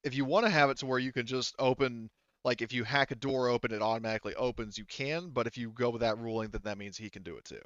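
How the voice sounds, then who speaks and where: A man's voice: medium pitch, fairly even intonation, fast, hardly any pauses, fairly bright tone, dry recording, muffled recording. A man acting as game master, casually narrating and refereeing a tabletop roleplaying game, thinking aloud and often correcting himself.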